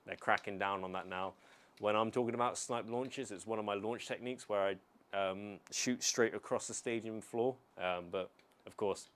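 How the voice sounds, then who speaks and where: A young man from the United Kingdom chatting casually on a live stream, answering chat in a relaxed, rambling way with many pauses and restarts. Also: on the low side.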